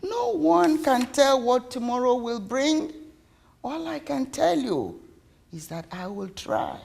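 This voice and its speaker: Woman performing a storybook mother, weary, tender, earnest, slow stretched phrasing, highly animated pitch. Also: gravelly, full character voice. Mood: tender, resolute. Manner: stretches words, pleading and earnest. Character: weary, loving mother, tearful and emotional.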